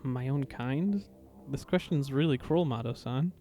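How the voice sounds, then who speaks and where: Man acting a shy, mellow-voiced captive boy. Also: medium pitch, a little slow, faint music behind, natural voice. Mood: earnest, wistful. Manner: polite, hesitant. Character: shy, apologetic, gentle half-ghoul boy prisoner. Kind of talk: anime fan fiction audio drama.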